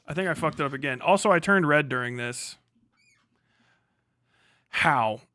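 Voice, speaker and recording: A man's voice: on the high side, moderate pace, bright, forceful tone, no room sound. A man hosting a livestream, chatting casually and off the cuff, muttering to himself and swearing freely. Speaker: livestream host. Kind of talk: casual unscripted livestream chat.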